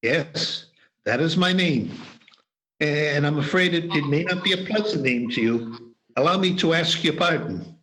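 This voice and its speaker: A bit higher than most men, this is a stiff old miser turned generous, as a man reads him. His gruffness slips into a humble, warm manner. He comes through a video call for an amateur radio play. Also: a little slow, low-quality recording. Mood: remorseful. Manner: gruff growl put on, then warm and humble.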